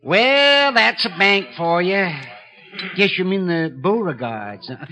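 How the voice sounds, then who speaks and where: A jovial, fussy small-town bank president for 1950s radio. His voice is high and bright and swoops around animatedly. He dithers and mutters chattily between his remarks. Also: animated, slow pace, heavily acted. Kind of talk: 1950s radio character acting.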